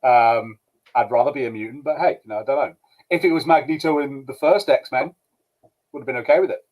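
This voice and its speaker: A man's voice: mid-range voice, fairly quick, darkish tone, low-quality recording. A man on a podcast panel giving emphatic opinions in casual talk, often acting out quotes in mock dialogue. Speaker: podcast panelist discussing a show. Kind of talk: casual opinionated group discussion.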